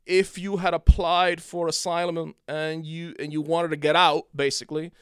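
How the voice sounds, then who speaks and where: Smooth-voiced man telling a long story. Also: on the high side, level tone, moderate pace, no room sound, clean studio sound. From Cuba. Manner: rambling, repeats and restarts words, storytelling. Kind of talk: casual conversation.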